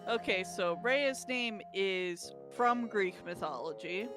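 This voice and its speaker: A woman's voice: medium pitch, lively intonation, slow. A woman tabletop player casually explaining the character they made. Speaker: tabletop role-playing player. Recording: music playing behind the voice. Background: clear background music.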